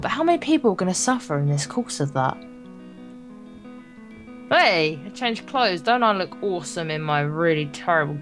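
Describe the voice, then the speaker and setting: Female let's player, lowish voice, theatrical and joking read of a visual novel, guitar music underneath. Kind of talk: gameplay reading in character with joking asides.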